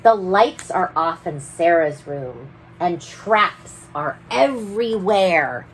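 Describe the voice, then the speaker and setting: A woman's voice: lowish voice, animated, slow, a little hiss or hum, rough recording. A woman voicing a mischievous, boastful tooth fairy who tells her adventures in storybook rhyme. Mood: dramatic. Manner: mischievous, theatrical. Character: sneaky, gleeful, boastful female tooth fairy narrating in rhyme. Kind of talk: rhyming storybook read-aloud.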